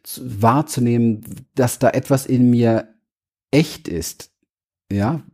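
A man's voice: mid-range voice, slow, mellow, husky. A man speaking earnestly as a guest in an interview, searching for words and restarting phrases as he explains. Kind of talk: reflective interview talk.

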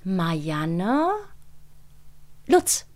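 A woman speaking in a reflective interview, telling things emphatically and pausing to search for words. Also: on the low side, sing-song, darkish tone. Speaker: interview guest, voice actress and dubbing director.

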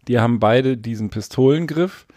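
Podcast co-host, male, quick joking banter, dry sound. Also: medium pitch, a little mellow, no echo. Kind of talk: casual chat.

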